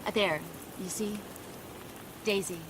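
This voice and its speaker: A woman's voice: mid-range voice, slow pace, natural voice. Woman acting a nervous, flustered wife. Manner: hesitant, flustered. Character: nervous wife and mother being questioned. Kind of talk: naturalistic audio drama acting. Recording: clear rain and vehicle noise behind.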